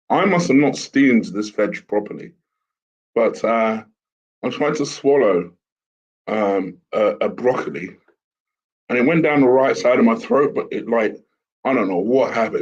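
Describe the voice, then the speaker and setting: Host, male, croaky and husky from a sore throat, low-ish voice, chatty and rambling, dull lo-fi sound. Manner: chatty, rambling with fillers and restarts. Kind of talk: casual solo commentary. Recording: dull lo-fi home recording with fan.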